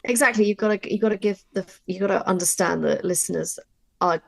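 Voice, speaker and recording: A woman's voice: mid-range voice, fast talker, creaky. A woman talking casually and emphatically as a writer explaining her craft in a workshop discussion. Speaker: audio drama writer.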